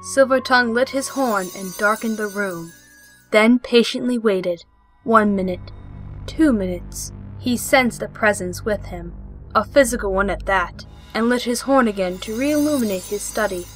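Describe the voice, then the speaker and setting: A woman's voice: medium pitch, normal speed, low-quality recording. Woman narrating over music. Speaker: audio play narrator. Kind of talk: scripted storybook narration.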